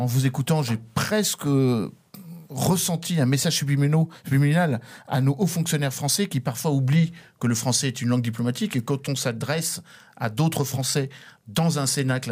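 A man's voice: medium pitch, a little fast, a little mellow. A man hosting an interview, asking questions in a formal, courteous way. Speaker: interviewer, host. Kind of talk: radio interview.

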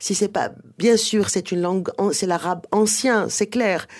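A woman's voice: medium pitch, normal speed, darkish tone. A woman whose first language is Arabic, a guest author explaining at length in a radio interview with many fillers and restarts.

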